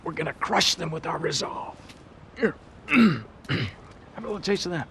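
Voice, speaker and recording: An actor playing a grandiose, earnest stoner activist in a game cutscene, scattered and zealous. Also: male voice, fairly high pitch, lively intonation, normal speed, fairly bright tone, slight background noise. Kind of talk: video game cutscene acting.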